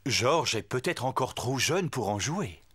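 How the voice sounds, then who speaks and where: An actor playing a cheerful storybook narrator in scripted narration for a children's cartoon. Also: male voice, mid-range voice, big pitch swings, measured pace, heavy background noise. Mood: amused.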